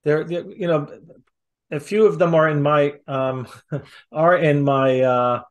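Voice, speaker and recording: A man's voice: fairly high pitch, fairly even intonation, slow, highs cut off. A middle-aged man hosting a casual interview over a video call. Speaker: host, interviewer. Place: indoor room on a video call. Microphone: video call microphone.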